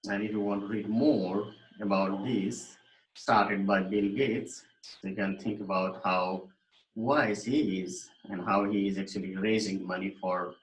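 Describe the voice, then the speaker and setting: A man, giving a recorded online lecture in a thoughtful, explanatory way, pausing with fillers and restarting his sentences. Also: low voice. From Nepal. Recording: echoey room.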